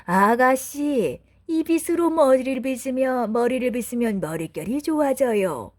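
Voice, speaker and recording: A woman voicing a disguised wicked queen posing as a peddler, with drawn-out, coaxing sales patter. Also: sits high, lively intonation. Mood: coaxing, sly.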